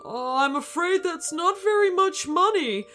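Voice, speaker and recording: A woman's voice: extremely high pitch, lively intonation, unhurried, sharp tone, faint music behind, pitched above the performer's own voice, heavily acted. A woman voicing a jolly but easily outraged male crocodile baker in a children's story, with stammering, indignant exclamations. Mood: apologetic, wistful.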